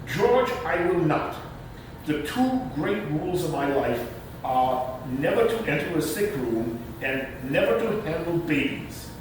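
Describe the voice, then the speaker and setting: A man playing a timid, reluctant, formal uncle with halting speech in a stage readers' theater piece. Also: very animated. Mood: pleading. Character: timid, reluctant, proper uncle who dreads babies. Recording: roomy, distant microphone with rumbling noise.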